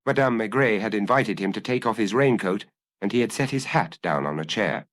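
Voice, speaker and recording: A man's voice: on the low side, animated, a little fast, fairly bright tone, dry recording, studio quality. A man narrating a detective story as a scripted audiobook.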